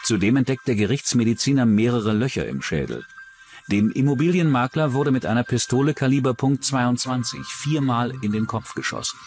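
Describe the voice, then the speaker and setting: A man's voice: mid-range voice, lively intonation, a little fast, music in the background. A man reading scripted true-crime documentary narration as the narrator.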